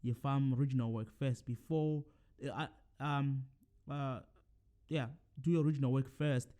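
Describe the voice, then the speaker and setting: A young man born in Zimbabwe talks loosely into his own podcast mic, his voice mellow and smooth. He wanders and restarts, with plenty of ums and quick corrections. It sounds like a relaxed solo chat. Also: mid-range voice. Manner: rambling, many fillers and self-corrections. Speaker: podcast host. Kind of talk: casual solo monologue.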